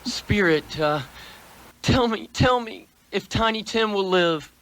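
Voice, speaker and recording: A man's voice: sits high, animated, slow, a bit bright, exaggerated voice. An actor playing an anxious, humbled man in a radio play, hesitant and deferential. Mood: anguished pleading.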